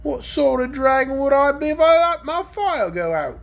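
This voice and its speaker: A woman voicing a gruff, boastful young male dragon in a storybook reading. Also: sits high, big pitch swings, normal speed, voice pushed up. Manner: sneering, blunt. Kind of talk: audiobook character reading.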